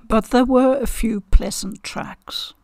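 An older woman, in her sixties or beyond, giving a measured, reflective video review in a conversational way, choosing her words carefully. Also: a little high, vocal fry, darkish tone. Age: 60s or older. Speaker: game reviewer, video host. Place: living room, indoors.

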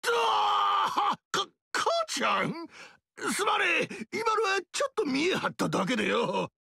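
A man voicing a boisterous, proud barbarian king with a gravelly, blustering, shouting delivery in video game dialogue. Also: very high voice, huge pitch swings, measured pace, no echo, studio quality, full character voice. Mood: flustered. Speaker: warlord character.

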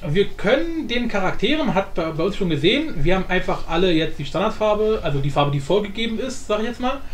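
A man presenting a software tutorial casually, with informal, jokey asides and frequent self-interruptions. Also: high-pitched. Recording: roomy echo, faint background noise.

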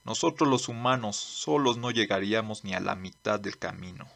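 A man playing a blunt, earnest old lawman in a manga dub, speaking formally and deliberately. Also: lowish voice, vocal fry, fairly bright tone. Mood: earnest, firm. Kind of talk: dramatic dubbing.

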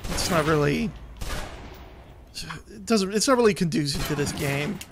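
A man's voice: on the high side, animated, moderate pace, noisy background. A man giving casual let's play commentary, explaining things in a blunt way. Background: game music and gunfire.

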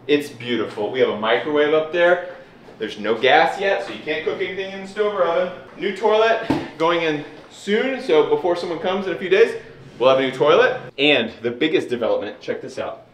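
A man's voice: sits high, echoey room, a little hiss or hum. A man in his thirties giving a casual, joking walkthrough vlog of an old stone house, recorded on a camera mic. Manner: upbeat, joking, wry. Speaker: vlogger.

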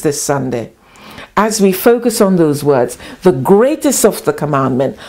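Slow, deliberate woman bishop preaching. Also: on the low side, darkish tone. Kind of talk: sermon. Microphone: headset mic, camera facing her across a table.

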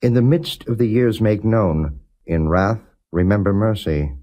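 A man giving a non-dramatized scripture reading as a narrator, in a measured, solemn and formal way. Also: lowish voice, dark tone. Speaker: Bible reader, audiobook narrator.

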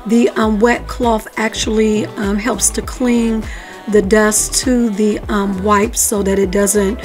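A woman's voice: mid-range voice, little pitch movement, slow pace. A woman in her thirties narrating her home cleaning in a casual voice-over, walking through each step with frequent ums. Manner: chatty, explains her steps with frequent ums. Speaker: cleaning vlogger. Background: faint background music.

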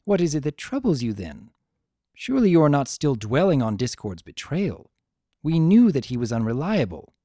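A man's voice: a little high, wildly swooping pitch, normal speed, warm tone, dry recording, clean studio sound. A man voicing a proud, formal pony princess, a concerned younger sister with archaic, emphatic speech in a dramatic story reading.

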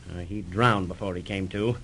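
A brash, cold-blooded 1930s radio schemer. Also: male voice, low voice, normal speed, pushed and bright, husky, heavily acted, poor audio. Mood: smug. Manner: slangy, bullying tough talk.

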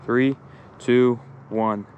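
A man's voice: mid-range voice, fairly even intonation, drawn-out words, a little mellow, dull audio. A man hosting a fishing vlog outdoors, talking casually and informally to viewers with mild slang. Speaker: fishing video host. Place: outdoors by a pond. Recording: noisy outdoor ambience.

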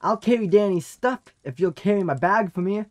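A man's voice: high voice, a little fast, dry recording, close to an everyday voice. A man playing a boisterous, impatient big party-lover in a fantasy audio drama, exuberant and prone to grumbling. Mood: excited, jovial.

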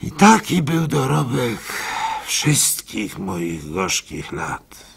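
Man, dramatic storyteller, gravelly and husky, theatrical, unhurried, first-person literary narration. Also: medium pitch. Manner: theatrical, expressive, varied in intensity.

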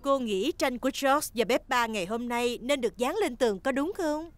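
A woman voicing a kindly, encouraging gazelle schoolteacher in a dubbed preschool cartoon, talking gently to small children. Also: fairly high pitch, moderate pace, dry recording, faint music behind, close to an everyday voice.

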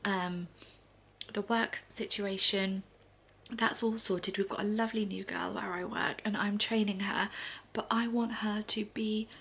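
A woman in her thirties chatting to camera in a soft, often half-whispered way on a living room sofa, a little congested. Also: medium pitch, steady tone, measured pace, slightly rough. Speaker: vlogger. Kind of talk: casual morning chat to camera.

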